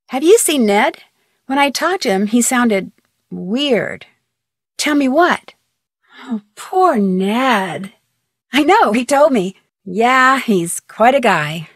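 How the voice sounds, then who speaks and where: A young American girl detective in a mystery video game. Her voice is on the high side and recorded dry and close. She talks in a chatty, curious, level-headed way, with clear diction and a wry edge. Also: no room sound. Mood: casual, wry. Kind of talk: video game voice acting, conversational phone-call dialogue.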